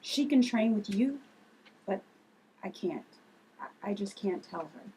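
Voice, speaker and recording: A woman's voice: mid-range voice, expressive, unhurried, mellow, husky, low-quality recording. A woman at a table read playing a fierce, protective aunt who readily confronts and threatens. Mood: reluctant.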